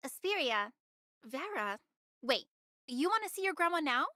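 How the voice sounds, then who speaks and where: A woman's voice: high voice, big pitch swings, normal speed, pushed and bright, no room sound, clean studio sound, exaggerated voice. A woman voicing a bossy, sly young woman in an anime game, commanding and teasing in manner.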